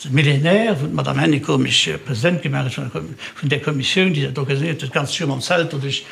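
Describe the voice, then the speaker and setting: A man's voice: on the high side, fast, gravelly, a bit bright, slight background noise. An older man with a Luxembourgish accent, chatting casually as an interview guest, rambling through memories and slipping French words into his talk. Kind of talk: casual reminiscing conversation.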